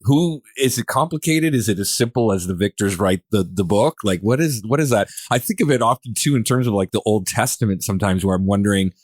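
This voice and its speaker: Lowish-voiced man hosting, rambling casually. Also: animated, brisk, few pauses, a little mellow, no echo, studio quality. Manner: rambling, repeats words while forming questions. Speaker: podcast host. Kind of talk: casual conversational interviewing.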